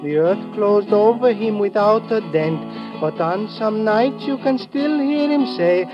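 Solemn island guide, high voice, stilted sing-song english, muffled old radio show. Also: male voice, animated, unhurried, over music. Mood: eerie chanting. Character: solemn, superstitious male island guide, loyal sidekick. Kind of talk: 1950s American radio adventure acting.

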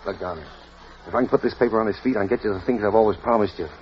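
A man's voice: on the low side, racing, close to an everyday voice. An actor playing an eager, impulsive young American newspaperman and devoted husband in 1940s radio drama, boyish and stammering when flustered. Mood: proud, hopeful. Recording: muffled old radio broadcast with faint noise.

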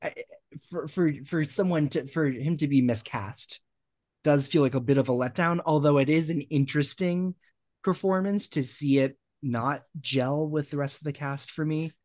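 A man's voice: fairly high pitch, moderate pace, darkish tone, no room sound. Man with a dry close voice, hesitant. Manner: hesitant, trailing off with ums. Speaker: podcast host. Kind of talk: casual podcast film discussion.

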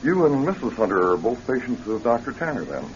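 An actor in a radio drama playing a male psychiatrist, questioning a patient in a measured, professional manner. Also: mid-range voice, very animated, slight background noise, close to an everyday voice. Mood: cordial. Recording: dull old radio recording with music.

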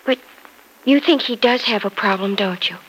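A woman's voice: medium pitch, normal speed, fairly bright tone, a little hiss or hum, lightly acted, poor audio. An actress playing an anxious young wife in a 1950s radio soap, earnest and pleading with her husband, close to tears. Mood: tearful.

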